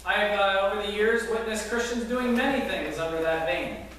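Man preaching slow, kinda high voice, church echo everywhere, faint hiss. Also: a bit bright. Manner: earnest storytelling preaching with fillers. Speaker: pastor. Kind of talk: sermon. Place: church sanctuary with congregation. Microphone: far camera at back of church. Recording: echoey church from far off.

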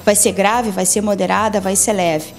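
A woman's voice: mid-range voice, fairly even intonation, normal speed, faint music behind. A woman in her forties with a Brazilian accent, a lawyer methodically explaining legal rules in a TV interview in an office, into a handheld mic. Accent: Brazilian Portuguese. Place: office meeting room.

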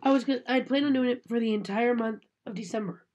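A woman's voice: on the high side, fast talker, highs cut off, background noise. Congested, nasal woman vlogging. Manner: chatty, apologetic. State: sick with a cold, congested. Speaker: unboxing vlogger. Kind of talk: casual chat to camera. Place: small room indoors. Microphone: webcam at desk.

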